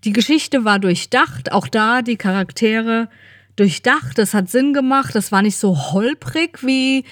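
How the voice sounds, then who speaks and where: A woman's voice: mid-range voice, no echo, clean studio sound. A woman chatting casually as a podcast co-host, rambling and correcting herself as she thinks aloud. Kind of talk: casual chat.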